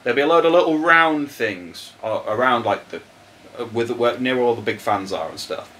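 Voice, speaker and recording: Animated man explaining electronics. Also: mid-range voice, a little fast, slight background noise. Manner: animated, laughs often, explains electronics in plain terms. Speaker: podcast co-host answering tech questions. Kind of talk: casual chat.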